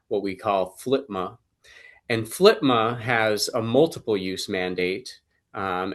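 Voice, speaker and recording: A man with a cold, slightly hoarse, giving a measured legal explanation as a staff attorney in an online presentation, with frequent fillers. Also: on the low side. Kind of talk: webinar presentation.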